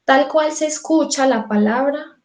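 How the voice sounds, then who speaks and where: A singing teacher with a Colombian Spanish accent, talking to her students in a chatty, informal way and answering her own questions as she goes. Her voice sits fairly high but sounds mellow and warm, not sharp. The room gives back a clear echo. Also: a little slow. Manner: chatty, self-answering, many fillers. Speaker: vocal technique teacher. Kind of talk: online singing lesson.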